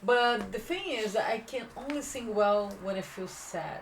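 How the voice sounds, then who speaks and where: A woman from Brazil co-hosting a podcast, chatting casually, animated, laughing and cursing freely. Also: mid-range voice, normal speed, noisy background. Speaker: podcast co-host. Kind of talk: casual chat.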